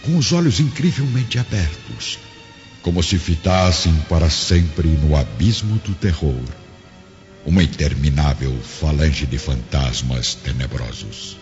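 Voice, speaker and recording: A man's voice: a bass voice, expressive, unhurried, dull audio. A man narrating a scripted radio drama in a solemn, theatrical, emphatic manner. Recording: band-limited sound, loud music underneath.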